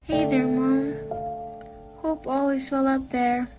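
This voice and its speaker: A woman's voice: high-pitched, steady tone, takes it slowly. A young woman in a student radio drama, playing a distressed, pleading orphaned girl. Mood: sorrowful. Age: young adult. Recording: music and crowd sounds behind.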